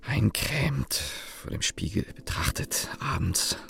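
An actor playing a young, insecure engineer in a radio play, hesitant and easily flustered. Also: male voice, medium pitch, very animated, slow, vocal fry, fairly bright tone, whispered, full character voice. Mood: brooding, disdainful. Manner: stammering, hesitant.

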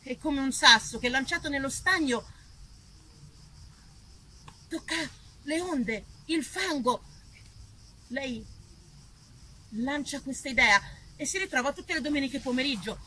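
Bright, high-voiced woman storyteller on stage. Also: unhurried, pauses often, slight background noise, rough recording. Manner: expressive, dramatic, engaging listeners with questions. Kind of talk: live theatrical monologue told to an audience. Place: live performance before a small audience.

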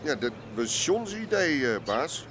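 Radio play: strip club barker, deferential underling, swinging intonation, traffic noise behind. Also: male voice, fairly high pitch, sing-song, measured pace, fairly bright tone. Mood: nervous, sheepish. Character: hustling, sing-song strip club doorman, deferential to boss. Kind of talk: Dutch radio drama acting.

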